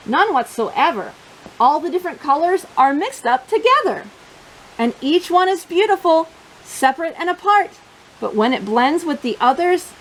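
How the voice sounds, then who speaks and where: A woman hosting storytime for young children, reading and chatting in simple, expressive phrases. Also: sits high, low-quality recording.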